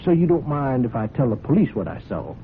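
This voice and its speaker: A man's voice: mid-range voice, sing-song, brisk. A sly country blackmailer in an old radio play, on a muffled, noisy recording. His folksy voice swings up and down widely, all false friendliness and hinted threat. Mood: sly, accusing. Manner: insinuating, falsely amiable, chuckling, hesitant phrasing. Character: sly, folksy male horse-farm hand turned blackmailer. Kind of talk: radio drama acting.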